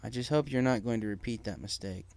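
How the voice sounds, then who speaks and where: A man's voice: mid-range voice, quick pace, gravelly, darkish tone, no room sound, close to an everyday voice. A man voicing a frank, supportive pony workmate in a storybook, direct and probing. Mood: probing, concerned. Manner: direct, probing, plain-spoken. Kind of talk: storybook character reading.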